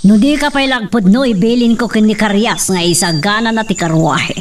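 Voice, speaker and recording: Casting: actress as haughty rich matron, mid-pitched, imperious, Ilocano accent, off-mic with cricket noise. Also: female voice, moderate pace, lightly acted. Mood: stern. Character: commanding middle-aged wealthy mother, stern and haughty. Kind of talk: radio-style Ilocano drama acting. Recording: distant mic, faint noise, crickets behind.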